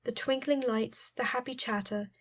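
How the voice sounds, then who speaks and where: A woman's voice: on the high side, fairly even intonation, normal speed. A woman narrating a story as an audiobook reader, in scripted prose.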